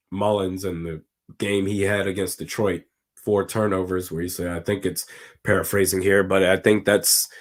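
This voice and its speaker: A man with a slightly raspy, hoarse voice, giving casual live commentary on a football game and reading out viewer comments. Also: low-pitched. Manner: casual, talks to chat, reads comments aloud. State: hoarse from screaming. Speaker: watch party streamer.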